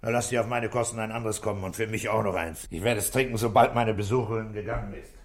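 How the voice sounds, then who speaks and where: An actor playing a calm, middle-aged police inspector in a radio drama, questioning in a measured, dry way. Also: male voice, on the low side, close to an everyday voice. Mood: polite, casual. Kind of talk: 1960s German radio drama acting.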